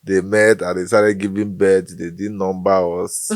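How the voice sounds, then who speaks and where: Nigerian man, very low voice. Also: little pitch movement, measured pace, no room sound, studio quality. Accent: Nigerian, Bayelsa-tinged Igbo. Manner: playful, jokey, slips into pidgin. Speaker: comedian guest. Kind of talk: casual podcast interview.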